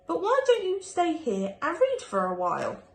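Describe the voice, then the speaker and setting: A woman voicing a gruff, sneering, hungry male wolf in a children's storybook. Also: very high voice, wildly swooping pitch, moderate pace, reverberant, pitched above the performer's own voice, exaggerated voice. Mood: sly.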